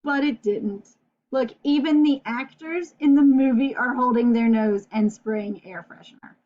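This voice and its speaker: High-voiced woman reading to children, unhurried. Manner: playful, asks listeners questions. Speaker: storyteller reading a picture book aloud to children. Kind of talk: animated children's read-aloud with asides to the listener. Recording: dull, lo-fi sound.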